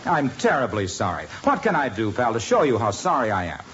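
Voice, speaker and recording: A man playing a cheerful announcer whose talk keeps slipping into smooth sales patter, in a 1950s radio sitcom. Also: mid-range voice, wildly swooping pitch, fairly quick, fairly bright tone. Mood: eager. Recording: muffled old radio broadcast with hiss.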